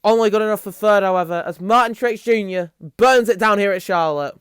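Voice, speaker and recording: A man's voice: high-pitched, a little fast, fairly bright tone, clear, resonant tone, no echo, studio quality. A man giving motorsport race commentary as the host, with music behind him.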